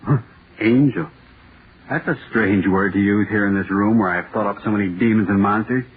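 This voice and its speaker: An actor playing a nervous, overworked male author in 1940s radio drama, halting and muttering to himself. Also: lowish voice, slightly rough. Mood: uneasy, musing. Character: jittery, overworked male horror-play author, restless and self-doubting. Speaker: radio playwright dictating a script. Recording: scratchy, noisy, tinny old radio broadcast.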